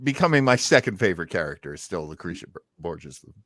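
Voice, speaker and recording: A man's voice: low voice, big pitch swings, quick pace, fairly bright tone, no room sound, studio quality. A man co-hosting a comedy podcast with casual, wisecracking reaction chat. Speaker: podcast co-host.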